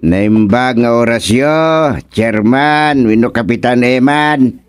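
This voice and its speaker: A man's voice: medium pitch, steady tone, slow, over music, close to an everyday voice. A man acting a talkative, fussy village official in an Ilocano radio comedy, with an Ilocano accent and a hesitant way of repeating his words. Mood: formal, jovial.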